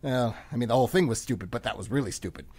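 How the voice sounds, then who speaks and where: A man acting in a comic radio play as a frantic, rambling middle-aged man under arrest, excitable and often interrupting himself. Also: mid-range voice, racing, husky. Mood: rambling, nostalgic.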